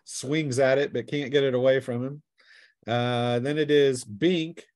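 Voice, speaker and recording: A man runs a tabletop role-playing game in a mid-pitched voice with a close, dry sound. He narrates casually as game master and teases his players playfully. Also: fairly even intonation, unhurried, darkish tone, no room sound.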